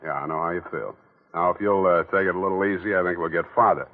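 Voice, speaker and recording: A man's voice: low voice, quick pace. An actor playing a dry, wisecracking male private eye who narrates, in a 1940s radio play. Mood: wry. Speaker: narrator and lead. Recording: tinny old radio broadcast with music.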